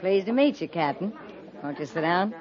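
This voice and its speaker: A woman's voice: on the low side, lively intonation, moderate pace, crowd noise, close to an everyday voice. A woman playing a playful, sassy frontier saloon regular with teasing, folksy talk in a 1950s radio drama. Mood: coy. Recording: muffled 1950s radio, crowded room behind.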